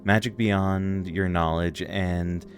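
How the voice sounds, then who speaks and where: A man voicing a calm, slightly condescending magical talking crystal in a video game, with music behind. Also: low-pitched, takes it slowly. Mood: knowing. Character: talking floating crystal, calm knowing witch's servant. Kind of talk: reading game dialogue aloud.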